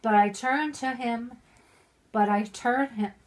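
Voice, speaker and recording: A middle-aged woman who is drunk, reading aloud haltingly with chatty asides, stumbling over and repeating words. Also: fairly high pitch, rough recording. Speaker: mother reading a Christmas poem aloud.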